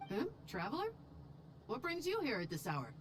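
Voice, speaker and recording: A woman's voice: fairly high pitch, wildly swooping pitch, moderate pace, slight background noise. A woman voicing a friendly, chatty food stall server in a video game.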